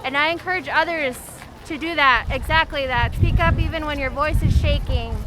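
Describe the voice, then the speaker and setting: A woman's voice: sits high, normal speed, low-quality recording. A woman in her thirties from Mexico, a patient care tech, speaking sincerely and steadily. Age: 30s.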